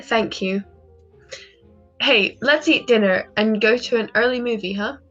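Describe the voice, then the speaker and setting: A woman acts out a doting young wife in a classic radio play. Her voice is fairly high and coaxing, on a slightly dull recording with faint music underneath. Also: measured pace, a bit bright. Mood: affectionate. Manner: coaxing, affectionate. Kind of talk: old-time American radio drama acting.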